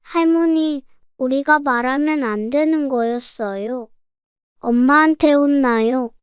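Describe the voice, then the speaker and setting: A woman's voice: sits high, takes it slowly, dry recording, clean studio sound. A woman voicing a young grandchild who is innocent and anxious, speaking in small, hesitant phrases. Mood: worried. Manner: small, hesitant childlike speech.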